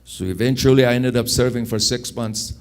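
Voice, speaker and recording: A man in his sixties from Afghanistan with an Afghan accent, telling personal stories in an unhurried, anecdotal way, repeating phrases for emphasis. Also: mid-range voice. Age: 60s. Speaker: speaker telling his life story. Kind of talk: personal storytelling.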